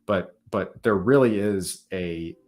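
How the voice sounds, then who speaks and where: A man hosting a casual podcast conversation, thinking out loud with frequent restarts and "right?". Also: low voice, slow.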